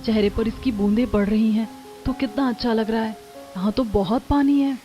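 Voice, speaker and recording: Woman as a wide-eyed young bride, high-pitched. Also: normal speed, dark tone, close to an everyday voice. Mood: amazed. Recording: dull lo-fi track with music.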